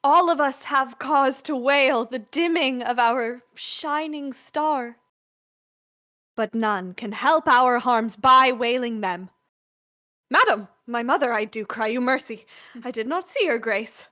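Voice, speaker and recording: A woman playing a sly, ingratiating royal duke who feigns humility in a Shakespearean radio play, speaking formally and deliberately. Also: sits high, sharp tone, exaggerated voice. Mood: feigned sympathy.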